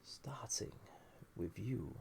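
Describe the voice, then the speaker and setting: A man playing a flirtatious, dangerous banished villain, ancient and lonely, in an intimate roleplay audio drama, breathy and often half-whispered, teasing with languid pauses. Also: fairly low pitch, sing-song, a little mellow, a little hiss or hum, heavily acted. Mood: wistful, confiding.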